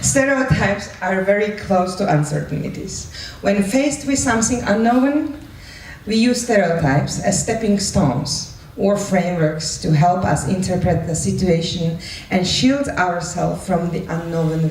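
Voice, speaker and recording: A woman's voice: on the low side, unhurried, darkish tone. A woman moderates a live event in an echoey room. She is picked up from some distance, with faint noise around her.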